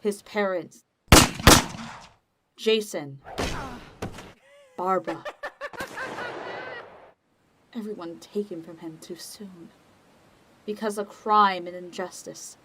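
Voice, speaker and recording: A woman giving scripted dramatic narration as the narrator of a story, in a measured, deliberate manner. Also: medium pitch, background noise.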